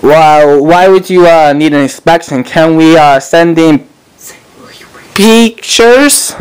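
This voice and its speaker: A man making a prank phone call, talking haltingly and acting clueless and evasive. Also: on the high side, smooth. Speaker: prank caller.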